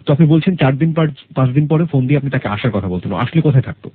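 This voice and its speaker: A man's voice: mid-range voice, expressive, rapid-fire, narrow, tinny audio, slight background noise. A man with a Bangladeshi accent hosting a radio interview, asking probing questions and explaining points for listeners. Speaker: radio show host. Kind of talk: probing life-story interview, summarizing for listeners.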